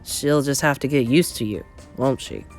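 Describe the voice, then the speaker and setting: Storybook grandmother, played by a woman: elderly, unruffled, dryly matter-of-fact, low register. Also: normal speed, darkish tone, faint music behind. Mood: brisk. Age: older. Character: calm, brisk old grandmother, dryly knowing.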